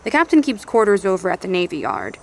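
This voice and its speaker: A no-nonsense woman who runs a survivor outpost in an audio drama. She speaks bluntly and plainly at a middle pitch, with a folksy directness. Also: huge pitch swings, quick pace, gravelly, darkish tone, exaggerated voice. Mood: matter-of-fact.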